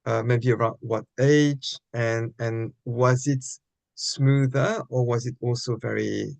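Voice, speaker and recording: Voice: medium pitch, fairly even intonation, takes it slowly, creaky, dry recording. An interview host asking casual, rambling questions that restart and loop back.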